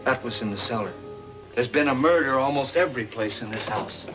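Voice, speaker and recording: An actor playing a haunted, morbid believer in murderous ghosts in a 1950s horror film, speaking in a grave, brooding, ominous way. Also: male voice, medium pitch, a little fast, lightly acted. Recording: dull old film soundtrack, music behind.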